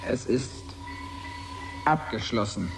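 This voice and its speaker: An actor playing a dazed test subject in a radio play, speaking in halting, dreamy fragments. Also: male voice, mid-range voice, expressive, vocal fry, music in the background. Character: dazed male test subject waking as another man.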